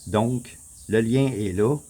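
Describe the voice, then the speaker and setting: A man with a Québécois accent, casually walking viewers through a map on his screen, rambling, repeating himself and clearing his throat often. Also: fairly low pitch, slow pace, warm tone. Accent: Québécois French. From Quebec. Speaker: vlogger. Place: home in the Philippines.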